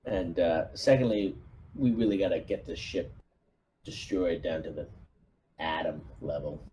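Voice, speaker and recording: A man's voice: deep voice, a little slow, warm tone, a little hiss or hum, rough recording. A man playing a squad leader in a tabletop game, chatting casually in and out of character. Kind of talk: casual in-character roleplay chat.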